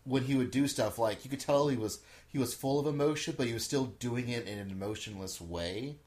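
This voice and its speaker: A man's voice: medium pitch, a little fast, darkish tone. A man on a casual film discussion panel, explaining and adding details. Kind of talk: casual chat.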